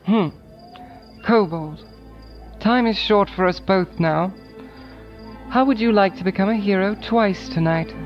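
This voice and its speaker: An actress playing a cold, commanding noblewoman who speaks slowly and condescendingly. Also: medium pitch, lightly acted. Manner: slow, measured, condescending. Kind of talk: audiobook drama acting. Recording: muffled with faint music.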